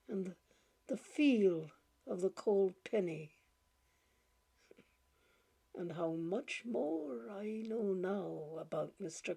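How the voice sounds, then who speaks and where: A woman reading a short story aloud in a gentle, halting way, repeating words and laughing softly as she goes. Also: lowish voice, gravelly, breathy edge. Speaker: audiobook reader.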